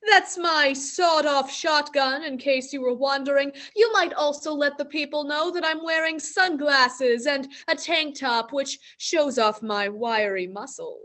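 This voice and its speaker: A woman's voice: high-pitched, unhurried, hardly any pauses, fairly bright tone. A woman playing a brash, boastful young female mayor in a school radio play. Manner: swaggering, boastful.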